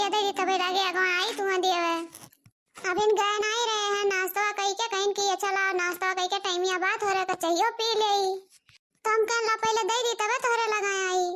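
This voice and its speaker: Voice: very high-pitched, pushed and bright. A cartoon performer voicing a cheeky young village daughter-in-law with an Awadhi accent and a childlike voice, stubbornly talking back. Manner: argumentative, talks back stubbornly. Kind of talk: exaggerated cartoon comedy dialogue.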